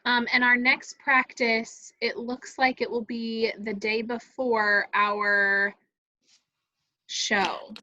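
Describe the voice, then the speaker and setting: A woman's voice: a little high, level tone, takes it slowly, sharp tone. A woman coordinating schedules in a casual meeting on a video call, thinking aloud hesitantly. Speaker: activities coordinator scheduling rehearsals. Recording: slightly dull video-call audio.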